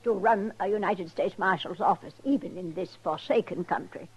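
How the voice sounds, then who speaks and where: A woman's voice: mid-range voice, normal speed, husky, lightly acted. An older woman playing a haughty, demanding society widow in an old-time radio drama, with an imperious, formal, scolding manner. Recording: vintage Western radio broadcast.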